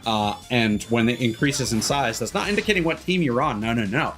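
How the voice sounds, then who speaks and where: A man's voice: medium pitch, animated, a little fast, a bit bright. A man livestreaming games with casual, rambling, opinionated and sweary commentary. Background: game music.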